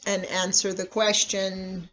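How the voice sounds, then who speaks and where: A meditation guide with a mid-pitched voice leads a practice slowly and deliberately. The phrases are instructional and set apart by long pauses. The recording sounds dull and lo-fi. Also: level tone, pushed and bright.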